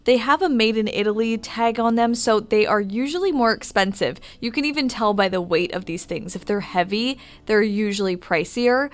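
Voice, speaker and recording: Chatty woman giving decor tips. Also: medium pitch. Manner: upbeat, chatty recommendations. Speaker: interior designer. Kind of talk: shop-with-me voice-over.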